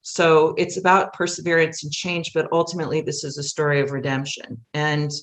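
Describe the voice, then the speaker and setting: A woman's voice: deep voice, flat delivery, a little fast. A middle-aged American woman talking candidly and animatedly as a guest in a casual interview. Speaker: guest, playwright. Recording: roomy echo.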